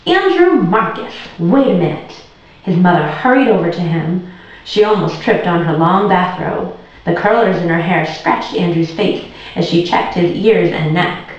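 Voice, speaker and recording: A woman's voice: fairly low pitch, normal speed. A woman tutor reading a children's storybook aloud as narrator. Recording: very echoey room, distant muffled mic.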